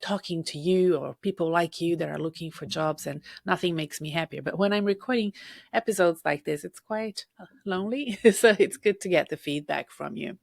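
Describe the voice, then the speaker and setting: A woman hosts a solo podcast and speaks warmly to her listeners as a career coach. Her voice is low and gravelly, husky from being sick. She is recorded close in a dry room with no echo. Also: moderate pace. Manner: chatty, personal, addresses listener directly. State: husky from recent illness.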